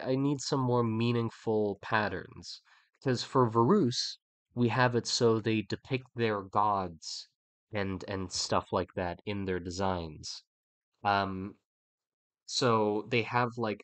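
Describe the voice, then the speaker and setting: A man's voice: lowish voice, no room sound. A young man with a stuffy, slightly nasal voice, thinking aloud in a rambling, restarting way while he draws on a livestream. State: stuffy nose, a bit nasal. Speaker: artist streaming a creature design session. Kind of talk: casual livestream talk while drawing.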